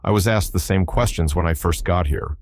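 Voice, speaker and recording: A poised, guarded woman manager with a clipped, clinical manner, from a spoken story, read by a man. The voice sits deep and is recorded close and dry. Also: fairly quick, no echo. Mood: soft.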